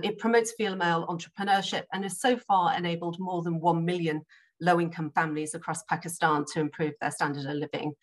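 A middle-aged woman moderating an online panel discussion over a video call, polite and measured, with frequent ums. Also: lowish voice, a bit bright. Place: home office, indoors.

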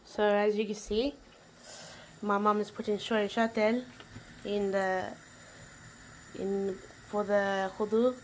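A woman's voice: medium pitch, little pitch movement, takes it slowly, long pauses. A woman giving casual, hesitant, step-by-step cooking commentary in a home kitchen. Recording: noisy kitchen with sizzling and clattering. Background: frying, sizzling, stirring.

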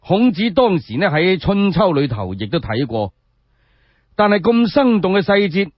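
A man telling a story in Cantonese in a dramatic, colloquial radio storytelling style, with drawn-out phrasing and rhetorical questions. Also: high-pitched, big pitch swings, dry recording. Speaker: solo storyteller. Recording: dull lo-fi sound.

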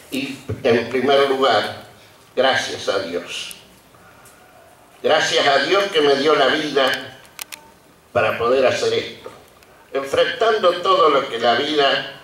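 An Argentine man of sixty-five giving an emotional, halting acceptance speech at an outdoor ceremony, pausing often as he reminisces. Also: mid-range voice, fairly bright tone. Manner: halting, reminiscing, with deliberate pauses. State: emotional, trembling. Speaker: retired teacher and honoree giving a speech. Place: outdoor colonial courtyard before a seated audience. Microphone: podium microphones on stands. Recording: roomy, distant public-address sound.

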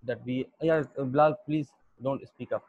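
A young Pakistani man with a Pakistani accent, lecturing an online English class in a halting way with many fillers. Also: medium pitch, fairly even intonation, no room sound. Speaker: English teacher. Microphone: computer mic on a video call. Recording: dull lo-fi video call audio.

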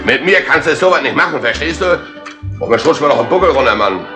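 A man's voice: medium pitch, lively intonation, quick pace, lightly acted. An actor playing a cheeky, blustering British man posing as a waiter in a 1960s German radio crime drama. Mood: indignant. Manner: cocky, slangy, blustering. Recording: old radio play tape with music behind.

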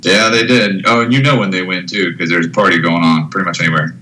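A man's voice: low voice, fairly even intonation, fast, bright, forceful tone, slight background noise, rough recording. A man in his thirties, a podcast guest, chatting in a laid-back, dryly joking way. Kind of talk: casual chat.

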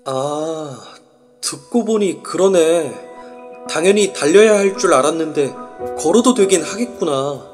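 A man's voice: fairly high pitch, animated, measured pace, darkish tone, soft background music. A man narrating as a wry young man. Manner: wry, musing. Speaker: narrator and lead. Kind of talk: first-person inner narration.

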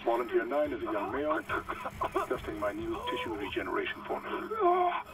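An actor playing a scheming doctor dictating an audio log in a video game, clinical and falsely jovial. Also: male voice, medium pitch, huge pitch swings, brisk. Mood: clinical, detached. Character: smooth-talking, scheming doctor dictating experiment logs. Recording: distant noisy radio-like audio log.

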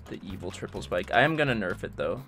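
A man's voice: fairly low pitch, lively intonation, quick pace, gravelly, fairly bright tone, soft background music. A young man joking and chatting casually while he narrates and streams himself building a game level. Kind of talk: casual commentary with voice-over narration.

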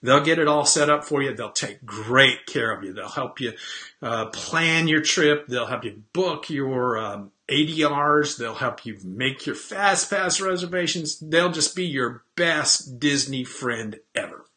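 Bright-voiced chatty middle-aged man. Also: mid-range voice, expressive, measured pace. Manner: chatty, rambling, self-deprecating, frequent throat clearing. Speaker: host. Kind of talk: casual chat to webcam. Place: home office, indoors. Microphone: webcam at desk.

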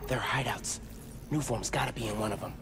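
An actor playing an earnest teenage male superhero in a video game, casual and wry as he thinks out loud. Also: mid-range voice, brisk, close to an everyday voice. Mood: focused, hushed. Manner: wry, self-talking, casual. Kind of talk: video game cutscene acting. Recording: loud music behind the voice.

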